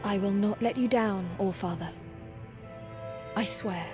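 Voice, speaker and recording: A woman's voice: medium pitch, unhurried, over music, close to an everyday voice. A woman playing a dutiful, resolute young valkyrie in a fantasy video game, formal, composed and earnest.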